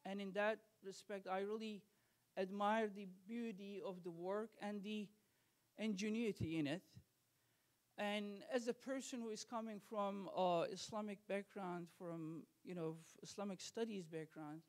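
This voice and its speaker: Earnest Turkish-accented man, halting speech. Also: high voice, steady tone. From Turkey. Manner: earnest, halting, many fillers and restarts. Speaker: school representative hosting an art reception. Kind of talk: informal welcome speech. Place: gallery reception in a school.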